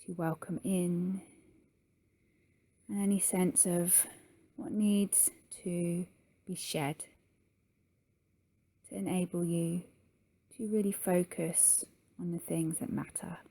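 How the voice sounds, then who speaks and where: Female meditation guide, very unhurried, warm relaxed tone, soft delivery with long pauses. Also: fairly low pitch. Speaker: yoga nidra guide.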